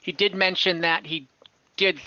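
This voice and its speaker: A man acting as game master, improvising narration in a halting, chuckly way over an online call. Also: high-pitched, fairly even intonation, sharp tone, highs cut off.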